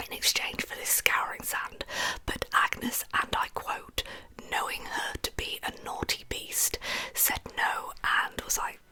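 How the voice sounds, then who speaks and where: A woman whispers a true-crime story in a breathy, soft-spoken ASMR style, narrating informally with chatty asides. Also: very high voice, poor audio.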